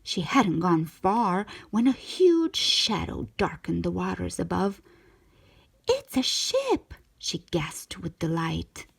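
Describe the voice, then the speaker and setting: A woman reading a children's storybook aloud in an expressive, measured storytelling manner. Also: fairly low pitch, gravelly, husky. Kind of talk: scripted storybook narration, read aloud.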